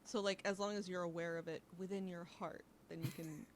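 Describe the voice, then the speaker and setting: A woman's voice: lowish voice, steady tone, brisk, a little hiss or hum. A woman in her twenties chatting casually as a podcast co-host, talking freely with lots of fillers. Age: 20s. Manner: chatty, filler-heavy, self-questioning. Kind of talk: casual chat.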